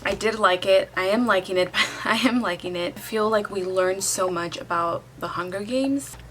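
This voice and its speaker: A woman's voice: mid-range voice, moderate pace, fairly bright tone, slight background noise. A young woman talking casually in a book vlog, chatty and candid, reacting aloud as she reads. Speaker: book vlogger. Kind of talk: casual reading vlog talk.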